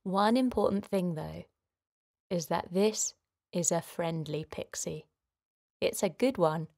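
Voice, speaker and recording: A woman leading a guided relaxation for children in a gentle, soothing manner, giving simple instructions with pauses. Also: fairly low pitch, gravelly. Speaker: children's guided meditation host.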